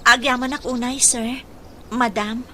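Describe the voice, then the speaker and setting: A woman's voice: a little high, steady tone, a little slow, pushed and bright, slight background noise. A woman playing a humble, struggling single mother in a radio drama, polite and earnest. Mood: grateful, deferential. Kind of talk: Philippine radio drama acting.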